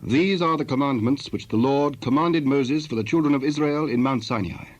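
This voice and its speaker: Formal male scripture narrator, mid-pitched. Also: dull audio. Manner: formal, measured.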